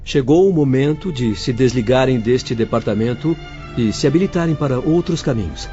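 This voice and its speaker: A man's voice: medium pitch, animated, moderate pace, dark tone, natural voice. A man playing an earnest, polite doctor guiding spirits in an audio drama. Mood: courteous. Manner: polite, explanatory. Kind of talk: dramatised audio-book dialogue. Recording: dull lo-fi audio, faint music. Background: faint background music.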